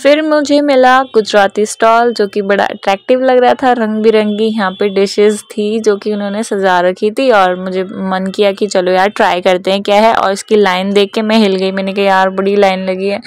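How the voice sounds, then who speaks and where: A woman's voice: mid-range voice, fairly even intonation, normal speed, hardly any pauses, no echo, clean studio sound. A young woman hosting her own vlog, chatting casually and cheerfully as she walks viewers through a food festival, holding the phone camera at arm's length. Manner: chatty, upbeat, mixes English phrases into speech. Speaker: vlogger, channel host. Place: outdoor festival grounds. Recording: loud music and crowd behind.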